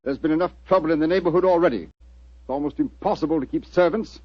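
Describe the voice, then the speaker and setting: An actor playing an irritable, evasive older master of a manor, testy and dismissive, in 1940s radio drama style. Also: male voice, a little high, quick pace, no room sound, close to an everyday voice. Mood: irritated. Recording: muffled 1940s radio recording.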